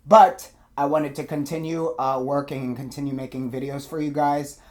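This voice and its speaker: Hoarse man with a cold, chatting. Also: mid-range voice, fairly even intonation, moderate pace. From United States. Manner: chatty, many fillers, apologetic and eager. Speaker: music reaction video host. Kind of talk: casual chat to camera.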